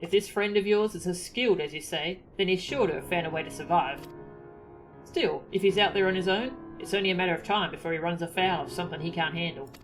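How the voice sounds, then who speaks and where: A woman's voice: lowish voice, quick pace, faint music behind, natural voice, rough recording. A woman voicing a chatty fantasy game villager, musing and colloquial.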